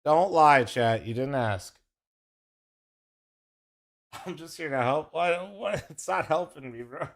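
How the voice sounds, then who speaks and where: A man's voice: a little high, animated, takes it slowly, long pauses, fairly bright tone. Profane, teasing male streamer. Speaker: streamer co-streaming an esports match. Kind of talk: casual livestream chat.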